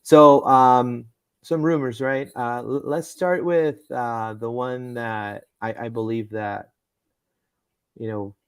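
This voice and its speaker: A man's voice: medium pitch, fairly even intonation, slow pace, clear, resonant tone, no echo. A man hosting a casual live soccer stream, rambling with lots of fillers and stumbles as he reads comments and rumors. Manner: rambling, with frequent fillers and repeated words. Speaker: podcast host.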